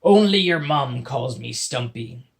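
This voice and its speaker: A man voicing a short, grumpy male pirate with a wooden leg, speaking in a gruff, drawling pirate dialect. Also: mid-range voice, animated, unhurried.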